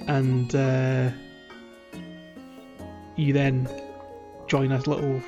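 A man's voice: mid-range voice, completely flat delivery, drawn-out words, music in the background. A man co-hosting a casual video game podcast, chatting informally. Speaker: podcast co-host. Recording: slightly dull lo-fi podcast audio.

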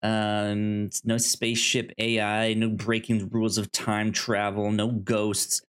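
A man's voice: lowish voice, monotone, slow, a bit bright, smooth. A man co-hosting a casual movie podcast, often hesitant and thinking aloud as he goes. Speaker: podcast co-host.

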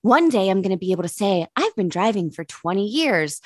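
An American woman of thirty-nine hosting a comedy podcast in casual chat, candid and casually profane, quick to laugh. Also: mid-range voice, very animated, dry recording, clean studio sound. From Pittsburgh, United States. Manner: laughs readily.

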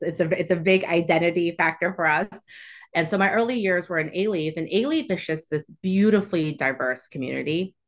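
Podcast guest, woman, low register, from Houston, thoughtful casual talk. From Southwest Houston, Texas. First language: Chinese. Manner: reflective, uses many "like" fillers. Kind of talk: casual interview chat.

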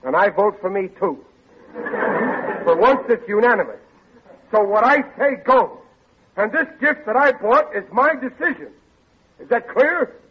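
An American actor playing a stubborn, soft-hearted middle-aged family man who stammers ungrammatically in a 1940s radio comedy. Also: high-pitched, animated, unhurried, pauses often, a little hiss or hum. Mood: bossy. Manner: ungrammatical, stammering, exasperated bluster. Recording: dull vintage radio recording.

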